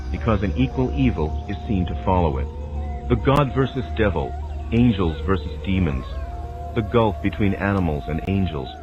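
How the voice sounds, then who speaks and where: Deep-voiced man reading spiritual teachings over music. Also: lo-fi sound. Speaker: narrator.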